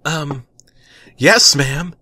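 A man's voice: on the high side, very slow, sharp tone, slightly rough, no echo, pitched above the performer's own voice, exaggerated voice. A man voicing a timid, exasperated male superhero sidekick, stammering and apologetic, in a comic story reading. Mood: nervous, apologetic.

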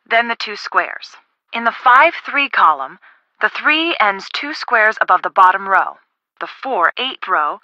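A woman voicing a friendly young woman in a video game, casual, expressive and helpful. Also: mid-range voice, no echo, lo-fi sound.

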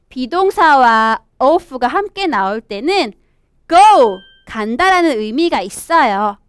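A young woman presenting a children's storybook English lesson, reading aloud and explaining in an expressive, sing-song teacher's manner. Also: high-pitched, dry recording, clean studio sound. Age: young adult. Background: background music.

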